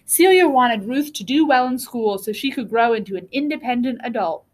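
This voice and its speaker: A woman reading a children's book aloud as a storyteller, with deliberate, expressive phrasing. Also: on the high side. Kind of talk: read-aloud storybook narration.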